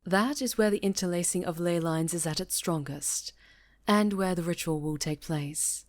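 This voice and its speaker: A woman voicing a stern, cautious high enchantress and mentor, speaking gravely and formally in a fantasy roleplay. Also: normal speed, darkish tone, natural voice. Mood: solemn. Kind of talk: fantasy ASMR roleplay acting.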